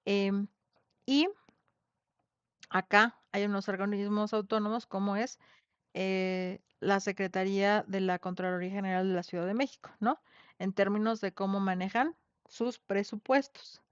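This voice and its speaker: A woman's voice: mid-range voice, steady tone, unhurried, clear, resonant tone, no echo. A woman with a Mexican accent teaching an online class in an informal, conversational way, often checking in with tag questions. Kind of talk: informal lecture with questions to students. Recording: dull lo-fi online class audio.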